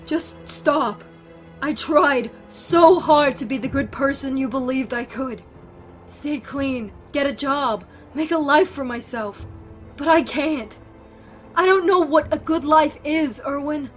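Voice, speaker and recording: A woman's voice: high voice, slow, soft background music. A woman playing a bitter, guilt-ridden, foul-mouthed woman in an audio drama, blunt and defensive in manner. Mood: despairing, pleading.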